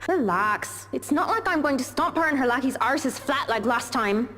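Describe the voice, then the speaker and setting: A woman's voice: high-pitched, lively intonation, normal speed, pushed and bright. A woman voicing a brash, protective teenage schoolgirl who talks tough, cocky and teasing. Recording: reverberant game audio with music.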